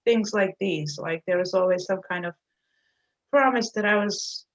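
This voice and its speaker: A woman's voice: mid-range voice, moderate pace, muffled recording. A woman from Serbia, a native Serbian speaker, talking casually as an interview guest, hesitant with many fillers. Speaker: agency owner, guest.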